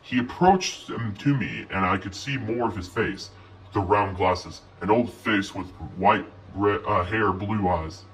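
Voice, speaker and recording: A man telling a scripted horror story in an expressive, first-person narration. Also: lowish voice, a little slow. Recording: voice distant from mic.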